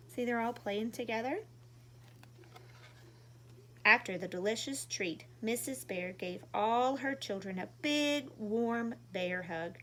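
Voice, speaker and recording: A woman doing an animated read-aloud for young children as a storytime reader. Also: mid-range voice, a little hiss or hum.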